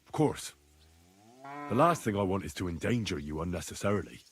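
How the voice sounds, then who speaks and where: An actor playing an earnest, confident medieval adventurer in a video game, husky and courteous in a measured way. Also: male voice, sits low, very animated. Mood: earnest, businesslike. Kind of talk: video game dialogue acting.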